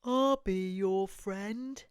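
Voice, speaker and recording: A woman's voice: mid-range voice, extremely slow, clear, resonant tone, no echo, studio quality, voice pushed down. A woman with an English accent voicing a brave, friendly young female bear in a storybook, speaking plainly.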